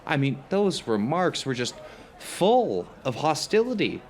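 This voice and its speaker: An actor playing a hesitant, neurotic dinner companion in an audio drama, speaking in a halting, stammering, hedging way. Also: male voice, on the high side, big pitch swings. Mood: nervous grievance. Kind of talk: conversational audio drama dialogue.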